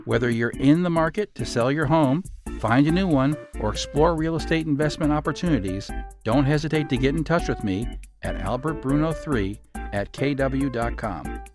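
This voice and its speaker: A man's voice: mid-range voice, normal speed. A man delivering a scripted host introduction and self-promotion for a story show. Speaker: host and writer promoting himself. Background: background music.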